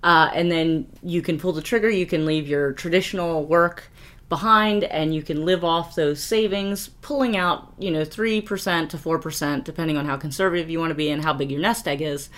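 A woman's voice: deep voice, brisk, a bit bright. A woman co-hosting a podcast in casual chat, explaining things patiently and laughing often. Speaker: podcast co-host.

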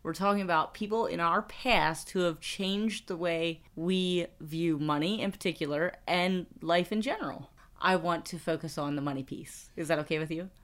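A woman's voice: lowish voice, normal speed. A middle-aged woman co-hosting a podcast, chatting casually, laughing often and restarting her sentences. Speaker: podcast co-host. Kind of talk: casual chat.

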